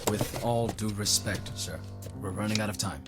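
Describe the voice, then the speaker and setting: Worried military subordinate, acted by a man, low measured voice, deferential, radio drama with clear music behind. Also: lightly acted. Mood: tactful, pressing. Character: respectful, worried army officer reasoning with superior. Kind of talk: radio war drama acting.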